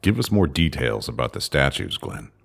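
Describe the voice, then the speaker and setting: A man playing a knowing, commanding space explorer in a sci-fi audio drama, terse and deliberate. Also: a bass voice, sing-song, creaky, fairly bright tone, exaggerated voice. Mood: grave, inquiring.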